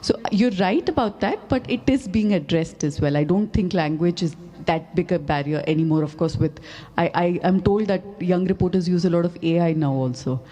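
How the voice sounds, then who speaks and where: A woman's voice: fairly low pitch, moderate pace, warm tone. A woman moderating a festival panel in a friendly, conversational way. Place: festival stage.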